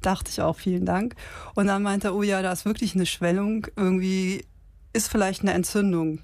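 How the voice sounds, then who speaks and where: A woman in her fifties co-hosting a casual podcast, telling a story in a lively way with fillers and short pauses. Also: medium pitch, no room sound. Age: 50s. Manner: animated retelling with many fillers. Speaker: podcast co-host.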